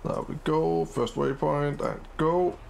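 Upbeat, slow-talking gamer man. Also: a little high, gravelly, a little hiss or hum. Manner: upbeat, easily excited, many fillers. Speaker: gaming channel host. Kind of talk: casual let's-play commentary.

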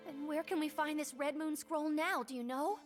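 A woman's voice: high voice, normal speed, faint music behind, natural voice. A woman voicing an earnest, sensible teenage girl ninja with a scolding streak in an anime dub. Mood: serious.

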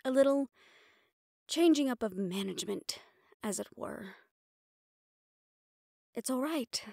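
A woman's voice: sits high, lively intonation, takes it slowly, gravelly, a little mellow, no echo, studio quality, full character voice. A woman playing a mafia boss's proud, frustrated personal assistant in an intimate roleplay audio drama, with an exasperated, self-reproachful manner. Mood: tense, businesslike.

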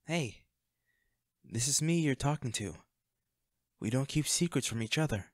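A man playing a devoted, protective bodyguard with a dark past in an intimate audio roleplay, speaking earnestly and gravely. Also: mid-range voice, lively intonation, unhurried, no echo, clean studio sound, natural voice. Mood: earnest, pleading.

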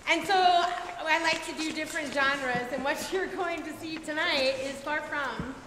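A woman's voice: sits high, unhurried. A woman drama director giving a chatty, unscripted introduction to a play from the stage. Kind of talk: informal stage introduction to an audience. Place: stage of a large auditorium. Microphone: far camera in the audience. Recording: echoey theater, clear audience and background noise.

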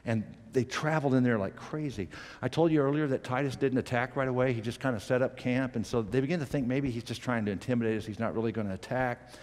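A middle-aged man preaching a sermon from a church stage on a headset mic, animated, swinging from loud emphatic peaks to quiet low asides. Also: fast, big-room sound. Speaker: pastor.

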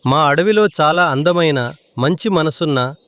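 A man's voice: on the high side, expressive, unhurried, a bit bright, no room sound. A man voicing a polite, formal owl matchmaker in a children's cartoon. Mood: courteous. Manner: courteous, formal. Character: polite male owl matchmaker from a faraway forest.

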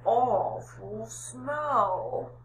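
A woman voicing a sensible cow who speaks in a slow drawl. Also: fairly high pitch, slight background noise. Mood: unimpressed. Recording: echoey room.

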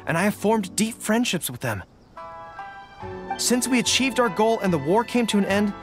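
Earnest young general, lively pitch. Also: male voice. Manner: earnest, deliberate, formal phrasing. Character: earnest young male dog-eared army general. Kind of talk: video game character dialogue.

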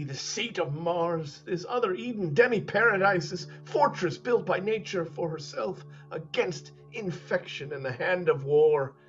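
A man in his forties playing a dying old English duke in a Shakespeare reading over a video call, declaiming slowly and with passion. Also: on the high side, a bit bright, exaggerated voice. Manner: declamatory, slow and impassioned. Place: home room. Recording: muffled video call audio.

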